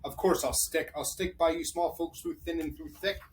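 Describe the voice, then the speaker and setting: A man's voice: on the high side, fairly even intonation, normal speed, a little hiss or hum, natural voice. A man voicing a kind, loyal male elephant in a read-aloud storybook, talking in an earnest, pleading way.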